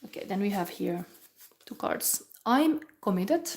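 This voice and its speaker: A woman from Finland with a Finnish accent, giving a rambling, musing tarot reading in English that often drops to a soft whisper. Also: medium pitch, animated, a little slow. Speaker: tarot reader. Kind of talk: live pick-a-pile tarot reading chat.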